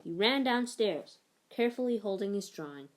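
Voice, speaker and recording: A woman reading a children's picture book aloud, narrating in an expressive, measured storytelling manner. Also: medium pitch. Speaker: story reader.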